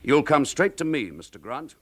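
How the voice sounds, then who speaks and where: An actor with a British accent playing a stern, weary, dutiful chief inspector in a radio drama, clipped and authoritative. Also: male voice, fairly high pitch, sing-song, normal speed. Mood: stern, angry.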